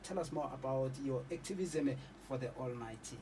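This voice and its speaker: A man's voice: mid-range voice, moderate pace, mellow, far from the mic, slight background noise. A South African man in his forties hosting a radio interview, giving encouraging prompts and mixing languages. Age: 40s. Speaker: radio host. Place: radio studio.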